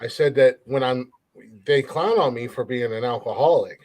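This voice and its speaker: A man's voice: mid-range voice, normal speed, darkish tone. A man with an American accent hosting a casual chat show, blunt and given to swearing. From United States. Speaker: podcast host.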